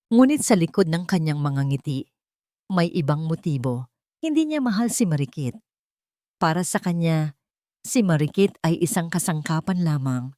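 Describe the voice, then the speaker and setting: A woman's voice: low voice, lively intonation, normal speed, warm tone, no echo, studio quality. A woman narrating a scripted dramatic audio story.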